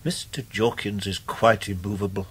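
Husky, pompous English gentleman lawyer. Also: on the low side, fairly even intonation, normal speed. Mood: genteel, evasive. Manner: smooth, pompous, hesitant. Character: fussy, genteel, evasive little English gentleman lawyer. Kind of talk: radio drama acting.

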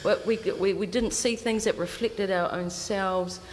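A woman's voice: medium pitch, steady tone. A woman, speaking as an artist on a panel, often repeating words as she gathers her thoughts. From New Zealand. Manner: halting, repeats words while thinking. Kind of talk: reflective panel talk. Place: indoor art gallery. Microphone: stand mic. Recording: reverberant gallery, faint hiss.

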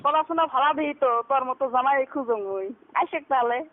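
A woman's voice: sits high, fast talker. A woman with a bantering casually in a taunting, sarcastic manner over a phone call. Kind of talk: casual teasing phone argument. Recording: tinny, band-limited phone call recording.

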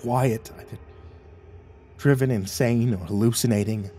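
A man narrating a first-person horror story in a tense, halting way with stammered restarts. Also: mid-range voice, mellow. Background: faint music.

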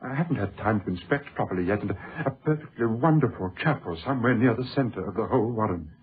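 A man acting in a radio drama as a secretive British archaeologist, hesitant and stammering, diffident but quietly excited about his work. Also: on the low side, fast talker, slight background noise, lightly acted. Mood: quiet wonder. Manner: hesitant, stammering, politely evasive, old-fashioned educated speech. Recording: muffled lo-fi old radio recording.

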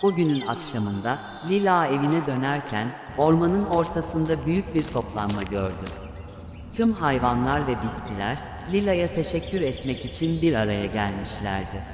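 A man's voice: mid-range voice, big pitch swings, moderate pace, background noise. A man narrating a scripted children's fairy tale as a storyteller. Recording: echoey long reverb, background sounds.

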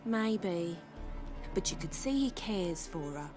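A woman's voice: on the low side, expressive, takes it slowly, music in the background. A woman acting a naive, gushing young woman smitten with a bard in a video game, dreamy and chatty. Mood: dreamy, guileless.

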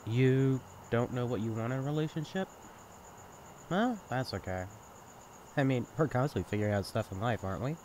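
A young man played by a male voice actor chats candidly as a close friend in an intimate audio roleplay. His mid-pitched voice moves up and down freely, casual and teasing yet caring. Faint night insects chirp behind him. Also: lively intonation, moderate pace, a little mellow, slight background noise, lightly acted. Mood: wry, reflective. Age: young adult. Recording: night ambience with chirping crickets. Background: crickets and insects, outdoor ambience.

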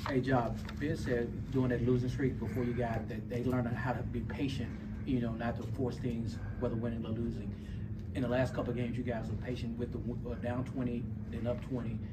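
A man's voice: mid-range voice, flat delivery, brisk. Distant male reporter asking questions. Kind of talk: press conference questions. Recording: distant mic, noisy room.

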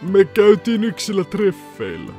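Man as teenage anime hero, smug, shameless, casual delivery, animated pitch, background music. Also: full character voice. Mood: deadpan. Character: cocky, shameless teenage boy swordsman. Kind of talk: anime parody fan dub.

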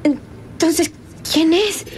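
Slow, faint, wounded young woman. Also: extremely high pitch, slight background noise, full character voice. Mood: fainting, desperate. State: faint, weak, gasping. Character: wounded, desperate young woman, devoted daughter. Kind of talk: melodramatic radio serial acting. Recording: old radio serial with music behind.

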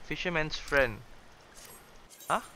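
A young man doing excitable, chatty live game commentary, exclaiming and reading dialogue aloud. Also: on the high side, slow, a little hiss or hum. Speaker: gaming streamer.

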